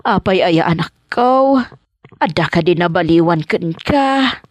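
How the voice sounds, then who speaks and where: Slow, plaintive mother. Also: fairly low pitch, animated, no room sound. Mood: tearful acceptance. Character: long-suffering, loving old mother. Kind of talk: Ilocano radio comedy drama acting.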